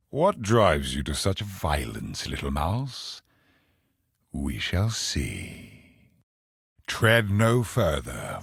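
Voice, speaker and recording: An actor playing an ancient, imperious male cosmic villain in a video game, older and husky, with portentous, theatrical delivery. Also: extremely low pitch, dry recording, studio quality, full character voice. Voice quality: gravelly, husky. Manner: slow, portentous, theatrical pronouncements.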